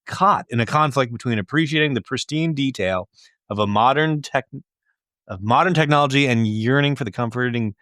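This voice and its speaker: A man's voice: medium pitch, a little fast, fairly bright tone, no echo, clean studio sound. A man co-hosting a comedy podcast, joking and swearing casually as he chats and reads listener letters. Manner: profane, joking. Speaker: podcast co-host.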